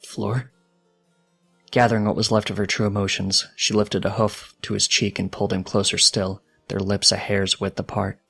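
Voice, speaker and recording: A man reading fiction aloud as a dramatic, solemn narrator with a deliberate delivery. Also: on the low side, completely flat delivery, vocal fry, pushed and bright, breathy edge, soft background music. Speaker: fanfiction narrator.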